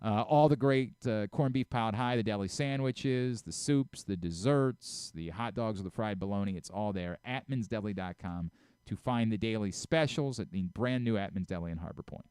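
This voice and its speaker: A man's voice: lowish voice, moderate pace, a little mellow, clear, resonant tone, no room sound. A man with an American accent hosting a sports radio show, bantering and teasing casually, interrupting and repeating himself, on a close studio microphone. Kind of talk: casual sports banter with live sponsor reads.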